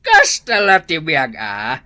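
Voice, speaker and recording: A man's voice: fairly high pitch, huge pitch swings, takes it slowly, pushed and bright, heavily acted. A man with an Ilocano accent playing a carefree, tipsy father who loves to sing, stammering and evasive, in a radio drama. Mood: sheepish.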